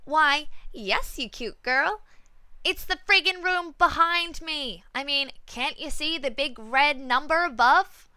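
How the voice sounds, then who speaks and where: A woman voicing a wistful, sarcastic teenage girl with an expressive delivery in a fan fiction reading. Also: high voice, slow, no echo, full character voice. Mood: sarcastic, annoyed.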